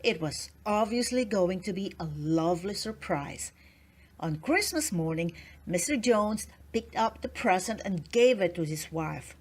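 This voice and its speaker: A woman's voice: mid-range voice, animated, a little slow, darkish tone, slightly rough. A woman narrating a children's storybook in a scripted read-aloud style.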